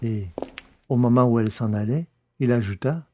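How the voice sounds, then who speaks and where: A man's voice: mid-range voice, normal speed, warm tone, a little hiss or hum. A man narrating and hosting a podcast from a script, formal and carefully enunciated.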